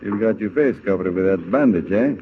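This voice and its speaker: An actor playing a big, tough, suspicious gang boss in a gruff, interrogating manner, in 1940s radio style. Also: male voice, on the low side, lively intonation, a little fast, close to an everyday voice. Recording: muffled noisy 1940 radio recording.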